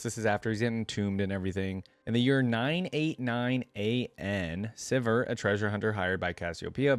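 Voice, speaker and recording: Male reaction host, lowish pitch, close dry recording, reads text aloud with casual asides. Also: no echo. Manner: informal, thinks aloud with frequent "like". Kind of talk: reading lore aloud with casual commentary.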